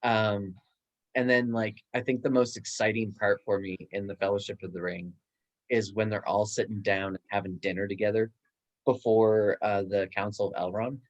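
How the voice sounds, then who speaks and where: A man's voice: on the low side, steady tone, normal speed, highs cut off. A man chatting casually and enthusiastically in a book discussion. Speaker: book club guest, bookstore worker.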